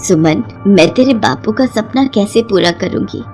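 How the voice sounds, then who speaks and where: A woman voicing a worried, tender, humble village mother in a gentle storybook cartoon manner. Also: medium pitch, expressive, measured pace, faint music behind, lightly acted.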